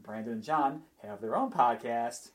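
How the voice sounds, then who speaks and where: A man hosting a casual podcast. His voice is middling in pitch, and he speaks conversationally as he steers the chat. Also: expressive, normal speed. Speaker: podcast host.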